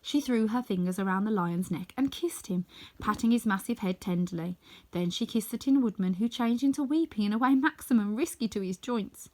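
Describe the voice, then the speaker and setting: A woman's voice: medium pitch, brisk, a little mellow. A woman reading a children's story aloud as scripted narration.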